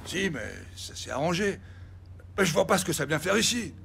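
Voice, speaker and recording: A man's voice: on the high side, lively intonation, normal speed, gravelly, sharp tone, slight background noise, exaggerated voice. An actor playing a middle-aged, indignant and protective father, a car dealer, in an audio drama. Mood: defensive. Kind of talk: dramatic audio series acting.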